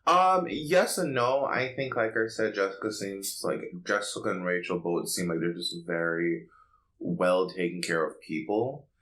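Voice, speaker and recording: Low-pitched chatty man on a podcast. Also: unhurried, a bit bright, low-quality recording. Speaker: podcast co-host. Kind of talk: casual pop culture podcast chat.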